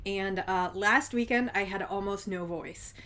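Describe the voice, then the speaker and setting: A woman's voice: mid-range voice, measured pace, bright, forceful tone. A woman hosting her own show in a casual chat to camera, slightly congested from a cold and chatty, with frequent ums. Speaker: podcast host.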